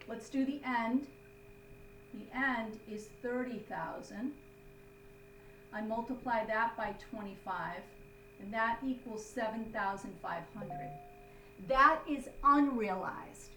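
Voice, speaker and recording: Woman instructor, fairly high voice, step-by-step explaining, short check-in tags, roomy distant recording. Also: fairly bright tone. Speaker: accounting instructor. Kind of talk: step-by-step classroom lesson. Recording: roomy, distant mic, faint hum.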